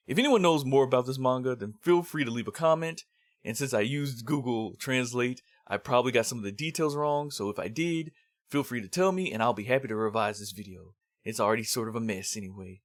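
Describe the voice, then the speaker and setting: A man's voice: mid-range voice, no echo, studio quality. A man presenting a review in semi-scripted narration with casual, rambling asides. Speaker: host of a manga review series.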